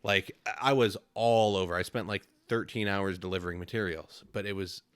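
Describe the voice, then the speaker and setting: A man hosts a casual podcast and talks candidly about his working days. His voice is very low and he strings thoughts together in long run-on stretches. The sound is dry and close. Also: steady tone, normal speed, fairly bright tone, smooth, dry recording. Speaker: podcast host, flooring contractor.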